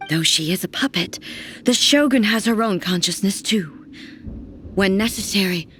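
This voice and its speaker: A woman voicing a regal, resolute warrior deity in a video game, speaking in a formal, measured, grave manner. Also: mid-range voice, fairly bright tone, slight background noise, lightly acted. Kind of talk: dramatic video game voice acting. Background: game music.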